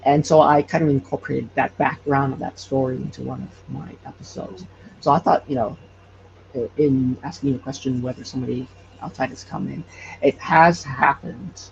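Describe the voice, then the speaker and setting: Halting man with a gravelly, fry-edged voice. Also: mid-range voice, steady tone, highs cut off, slight background noise. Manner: halting, hesitant with repeated false starts. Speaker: podcast creator and guest. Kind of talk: casual interview storytelling.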